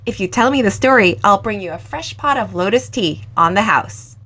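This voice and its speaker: A woman reading the part of a sweet, curious young cafe owner who teases gently and eagerly. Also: mid-range voice, expressive, normal speed, breathy edge. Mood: playful. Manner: warm, teasing, eager.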